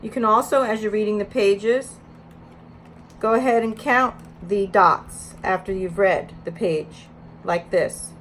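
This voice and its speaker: A woman's voice: mid-range voice, unhurried, a bit bright. Woman teaching through a read-aloud, noisy recording. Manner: instructional, emphatic when reading aloud. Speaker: early childhood educator.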